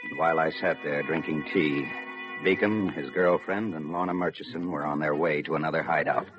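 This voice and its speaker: An actor playing a dutiful, weary police lieutenant in a 1950s radio drama, with understated, slightly hesitant delivery. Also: male voice, a bass voice, fairly even intonation, brisk. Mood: grim narration. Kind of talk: 1950s radio drama acting and first-person narration. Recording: muffled old radio recording with music.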